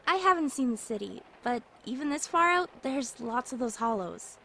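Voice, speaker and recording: A high-pitched girl survivor voiced by a woman in an apocalypse audio drama. She is polite and practical, explaining earnestly with some hesitation, over faint noisy ambience. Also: expressive, normal speed, gravelly, bright, forceful tone, heavily acted. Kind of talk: fan audio drama acting.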